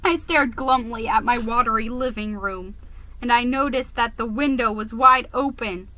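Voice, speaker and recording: A woman's voice: fairly high pitch, normal speed, sharp tone, no room sound, low-quality recording. A woman giving a dramatic, emphatic reading of a children's story. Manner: theatrical, emphatic. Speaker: storybook reader.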